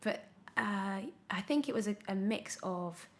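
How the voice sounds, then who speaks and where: A young woman chatting casually as an interview guest, telling her story in an animated, expressive way. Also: medium pitch, unhurried. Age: young adult. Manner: animated storytelling, acts out her own past thoughts. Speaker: podcast guest, radio drama actor.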